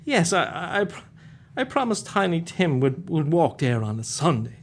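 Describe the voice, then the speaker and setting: Gentle heartbroken dad, stammers, voice goes up and down a lot, radio drama. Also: male voice, fairly high pitch, very animated, a little hiss or hum. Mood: weary, wistful. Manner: stammering, halting, tender. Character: gentle, grief-stricken humble father. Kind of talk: radio play acting.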